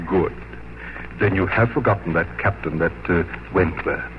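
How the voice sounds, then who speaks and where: An actor playing a fussy, pushy older gentleman father in a 1950s radio drama, pompous and emphatic. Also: deep voice, normal speed, natural voice. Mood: insistent. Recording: muffled, noisy 1950s radio recording.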